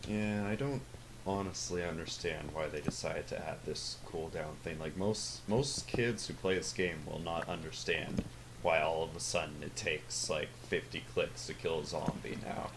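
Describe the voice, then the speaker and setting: A teenage boy with a deep voice that sounds older than his age, talking over his gameplay in a rambling, hesitant way full of fillers and self-corrections. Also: steady tone, normal speed, noisy background. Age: teens. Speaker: gaming channel host. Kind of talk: casual gameplay commentary.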